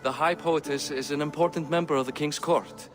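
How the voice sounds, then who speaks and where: A man performs a Viking king in a dramatic game cutscene, measured and calculating. His voice sits a little high for a man and rings with long reverb. Music plays underneath his dignified speech. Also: fairly even intonation, close to an everyday voice. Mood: measured concern.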